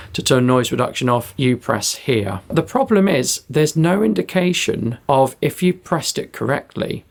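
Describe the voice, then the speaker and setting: A British man reviews a gadget in a casual, spoken style. His voice sits at a middling pitch and has a very strong gravelly fry to it. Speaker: product reviewer.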